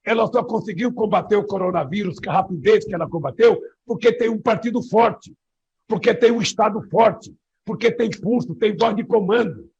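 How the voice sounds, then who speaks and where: Very raspy, creaky Brazilian man. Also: high-pitched, moderate pace, darkish tone. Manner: emphatic, listing with repetition. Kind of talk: political remarks. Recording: dull lo-fi clip.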